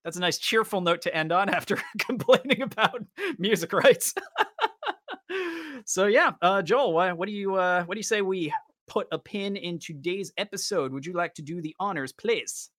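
A man co-hosting a podcast in casual chat, repeating words in a halting way as he goes. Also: sits high, a bit bright, dry recording, studio quality. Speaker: podcast co-host.

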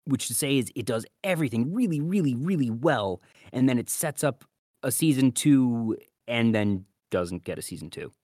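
A man's voice: medium pitch, expressive, moderate pace, warm tone, dry recording, clean studio sound. A man hosting a podcast, talking casually and giving his commentary on anime. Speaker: podcast host.

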